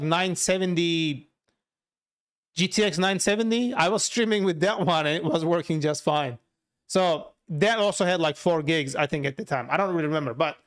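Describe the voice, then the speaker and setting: A man with a Turkish accent and native Turkish, chatting casually on a livestream as he works and answers viewers. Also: on the high side. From Turkey. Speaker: livestreamer, software developer.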